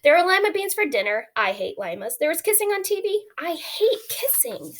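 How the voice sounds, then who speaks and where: Woman reading as a grumpy, high-voiced little boy. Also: sing-song, moderate pace, a bit bright, full character voice. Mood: grumbling. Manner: complaining, emphatic, dramatic. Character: grumpy, aggrieved little boy telling his bad day.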